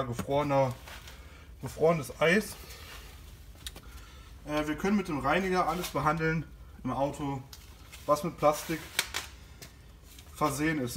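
A man's voice: fairly high pitch, a little hiss or hum. A man giving a casual product demonstration as a car care host, informal and a little hesitant while he works. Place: inside and beside a car in a garage.

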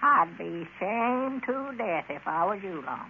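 An actor playing an excitable, gleeful old country storekeeper with a Southern accent and folksy rustic speech in a radio comedy. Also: male voice, high-pitched, a little slow, vocal fry, exaggerated voice. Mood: indignant. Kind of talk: 1930s-40s radio comedy acting. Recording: tinny, noisy old radio recording.

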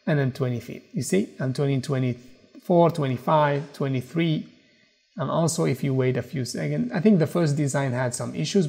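Man with a mellow voice explaining software. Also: fairly high pitch. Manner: explanatory, repeats "okay" and "as you can see". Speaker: tutorial presenter. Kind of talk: screen-recorded software tutorial.

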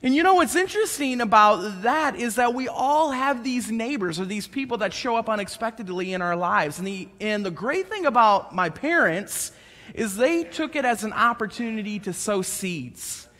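Bright-voiced preacher in his thirties, higher-pitched man, lively storytelling sermon, long reverb of a church hall. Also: moderate pace. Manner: conversational and animated, gesturing while pacing the stage. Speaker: pastor. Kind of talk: sermon with personal stories. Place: church stage, large indoor room.

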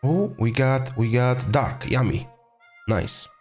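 A young man with a voice hoarse from being sick, chatting casually through a game video and getting excited over rare drops. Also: medium pitch, takes it slowly, soft background music. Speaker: gaming video host. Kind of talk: casual gameplay commentary.

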